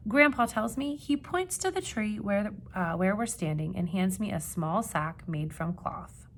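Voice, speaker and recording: Expressive woman reading aloud, creaky voice. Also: medium pitch, slight background noise. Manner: expressive, dramatic gasps and emphasis, pausing to ask questions. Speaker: teacher reading a picture book aloud. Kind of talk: animated children's read-aloud with questions to listeners.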